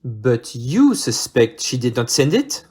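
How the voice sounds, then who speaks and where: Courteous Belgian male sleuth, French accent, husky timbre, hugely animated melody, formal audio-play delivery. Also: medium pitch, slow pace, heavily acted. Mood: pensive, shrewd. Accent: French-speaking Belgian. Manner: formal, theatrical, French interjections. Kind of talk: amateur audio play acting.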